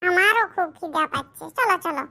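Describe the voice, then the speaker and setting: A performer voicing a dutiful young village son in a comedy cartoon, with a shrill pitched-up voice. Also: lively intonation, a little fast, heavy background noise. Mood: playful, hungry.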